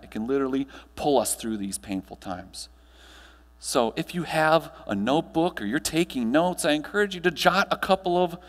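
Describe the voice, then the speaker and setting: An American man in his thirties giving a motivational conference keynote, projected and emphatic, with deliberate pauses and rhetorical questions. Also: a little high. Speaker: keynote speaker and trainer. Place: large hotel ballroom stage. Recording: long hall reverb from ballroom PA.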